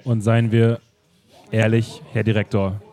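A man acting a detective in an improvised radio play, calmly laying out the case. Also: on the low side, a little slow. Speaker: improv actor playing the detective.

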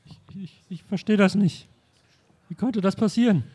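Man playing a high-voiced, flustered museum director. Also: moderate pace, dark tone, slightly rough. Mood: shaken. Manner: stammering, repeats words. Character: flustered, bewildered museum director, stammering father of Alfie. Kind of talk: improvised German audio drama.

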